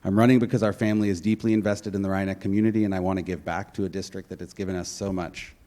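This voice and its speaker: A man in his forties reads his prepared candidate statement to a community forum with earnest, steady delivery. His voice sits on the low side. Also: racing. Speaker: school board candidate. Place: public forum room.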